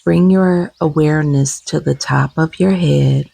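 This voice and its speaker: Slow, creaky, hushed woman guiding meditation. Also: low-pitched, dry recording. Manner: gentle, soothing, unhurried with long pauses. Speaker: guided meditation leader. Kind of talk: guided meditation, breath and body scan.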